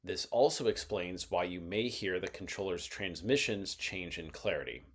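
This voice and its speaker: Low-voiced man narrating an explainer. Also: a bit bright. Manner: measured, methodical, explanatory. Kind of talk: scripted instructional narration.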